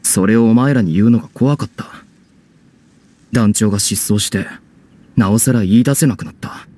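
An actor playing a guilt-ridden young man of a theatre troupe in a Japanese video game, speaking gravely and haltingly with remorse. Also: on the low side, dark tone, natural voice. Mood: guilty, anguished.

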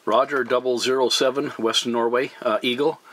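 A man talking as a CB radio operator, courteous and deliberate, using procedure words. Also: mid-range voice, steady tone, heavy background noise. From Canada.

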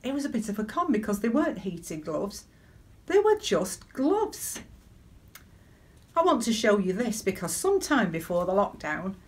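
A middle-aged woman gives a conversational sermon as a church deacon, telling personal stories in a measured way and putting questions to her listeners. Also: medium pitch. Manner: measured, storytelling delivery with rhetorical questions. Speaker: church deacon leading online worship. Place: small living room at home, indoors.

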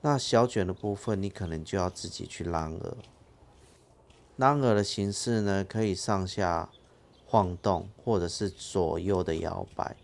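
A man's voice: sits low, measured pace, vocal fry. A man hosting a fishing channel, explaining his rig step by step in a plain casual way outdoors at a harbour. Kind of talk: casual how-to narration.

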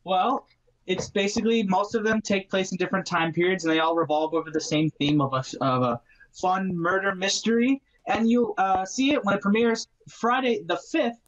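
A young man chatting casually as a guest in a deadpan way. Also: sits high, moderate pace. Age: young adult. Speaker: student director and guest. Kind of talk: casual chat. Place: indoor room at home, video call. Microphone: video call. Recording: muffled video-call audio.

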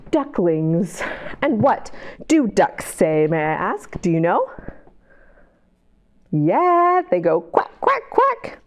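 A middle-aged American woman hosting a library storytime, reading aloud to young children in an expressive, sing-song way and drawing them in with questions. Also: mid-range voice. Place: indoor library children's area. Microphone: lapel mic.

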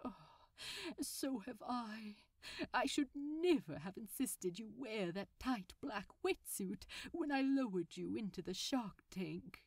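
Storybook villain: polite, smitten gentleman played by a woman, coy and unhurried. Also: fairly high pitch, animated, no echo, exaggerated voice. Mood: confessing. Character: genteel, coy male supervillain, affectionately smitten.

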